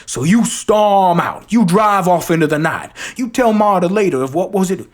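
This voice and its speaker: An amateur performer reciting a film monologue as a theatrical, grandiloquent gentleman detective with a husky voice. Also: medium pitch, expressive, normal speed, natural voice. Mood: accusing, dramatic. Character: theatrical gentleman detective, dramatic, deliberate, righteous.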